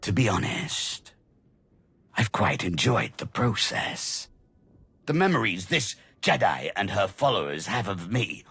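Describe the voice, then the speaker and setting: An actor playing an arrogant, coldly amused immortal dark-side villain in a video game, speaking with theatrical, drawn-out condescension and a gravelly, husky voice. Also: male voice, on the low side, big pitch swings, exaggerated voice. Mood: smug amusement. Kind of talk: dramatic video game acting.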